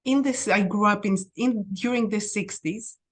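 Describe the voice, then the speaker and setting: A woman, a podcast guest telling her life story in a reflective way, with pauses and restarts. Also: medium pitch. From Greece. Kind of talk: personal storytelling.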